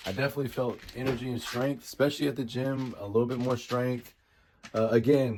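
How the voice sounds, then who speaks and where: A man's voice: mid-range voice, darkish tone, slight background noise. A man in his late thirties giving a casual, rambling product review as a vlogger, often repeating and rephrasing himself. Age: late 30s. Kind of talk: casual talk to camera.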